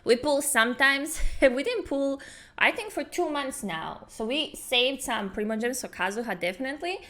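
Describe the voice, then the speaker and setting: A woman hosting a casual gaming reaction video, chatting in an excitable, giggly way. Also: sits high, normal speed. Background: game music.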